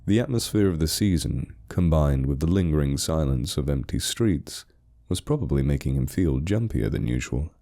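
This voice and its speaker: A man narrating a scripted spooky story in a measured, suspenseful storytelling manner. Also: rumbling low, lively intonation, warm tone.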